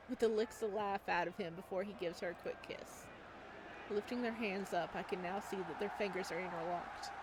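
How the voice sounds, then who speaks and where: Casting: female let's-player narrating game text, expressive and casual, mid-pitched, slight noise behind her. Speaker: visual novel let's-player. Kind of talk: expressive read-aloud of game dialogue with casual asides.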